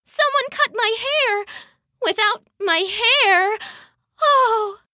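A woman's voice: very high-pitched, very slow, pushed and bright, dry recording, studio quality, pitched above the performer's own voice, full character voice. A woman voicing a weak, distraught goddess in a storybook myth, moaning and pleading. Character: weak, distraught golden-haired goddess wife.

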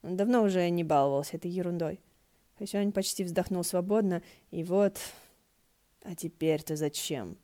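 A woman's voice: lowish voice, a little fast, warm tone. A woman narrating a story as an audiobook reader. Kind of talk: narrating fiction, acting out dialogue.

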